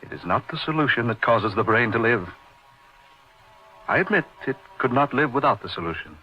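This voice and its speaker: An actor playing an intense middle-aged surgeon in an old-time radio horror drama, recounting his uncanny friend with deliberate, emphatic repetition. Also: mid-range voice, lively intonation, natural voice. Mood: insistent. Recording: dull lo-fi radio recording, music behind.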